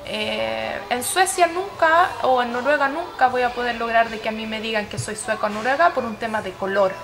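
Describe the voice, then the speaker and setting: A woman's voice: on the high side, moderate pace, over music. A woman with a Chilean accent telling her personal story as an interviewee, reflective and often searching for words. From Sweden and Chile.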